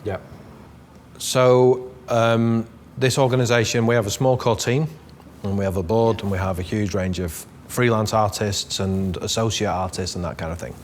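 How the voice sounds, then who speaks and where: A man interviewing a colleague, asking questions in a relaxed way and pausing to search for words. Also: on the low side, a little hiss or hum. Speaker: interviewer, executive director. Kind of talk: interview questions, casual talk.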